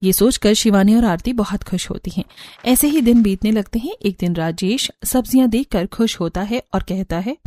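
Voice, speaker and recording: A woman's voice: mid-range voice, normal speed, warm tone, no room sound. A woman narrating a scripted cartoon story.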